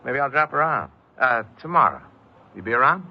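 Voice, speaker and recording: An actor playing a slick, pushy American small-time crook, slangy and cajoling, in an old-time radio crime drama. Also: male voice, mid-range voice, expressive, a little fast. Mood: chummy, sly. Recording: dull vintage radio recording, music behind.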